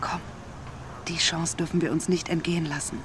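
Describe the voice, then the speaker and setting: An actress playing a bold, playful female fast-food worker who coaxes eagerly in a radio drama, with music underneath. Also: low-pitched, little pitch movement, a little hiss or hum, lightly acted. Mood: hushed, excited.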